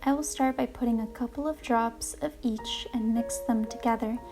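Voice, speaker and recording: A woman hosting a guided relaxation session, speaking in a calm, gentle, deliberate way with soothing narration. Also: a little high. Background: music playing behind the voice.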